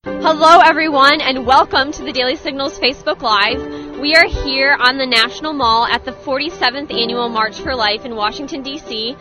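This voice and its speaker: A woman's voice: high voice, moderate pace, music in the background, rough recording. A woman reporter opening a live field report outdoors at a march and interviewing people. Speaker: street reporter.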